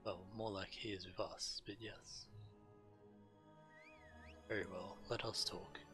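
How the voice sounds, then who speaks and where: A man's voice: deep voice, steady tone, slow, over music. A man who streams games, reading quest text aloud.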